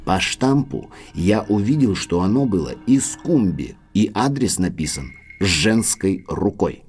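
An actor playing a loyal, discreet middle-aged butler in an audio drama, speaking in a measured, respectful, formal way. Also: male voice, deep voice, expressive, a little mellow, soft background music. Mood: grave recounting.

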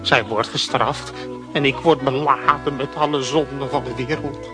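A man's voice: mid-range voice, fairly even intonation, normal speed, over music, lightly acted. A man voicing a melancholy male dog who is a stowaway sleuth, speaking in a theatrical, self-pitying inner monologue in a children's radio play.

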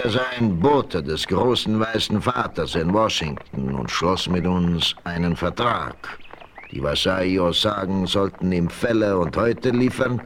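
An actor playing an old Osage chief in a 1970s radio play, deliberate and grave, speaking of himself by name. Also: male voice, deep voice, fairly bright tone, full character voice. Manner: slow, deliberate, speaks of himself in third person.

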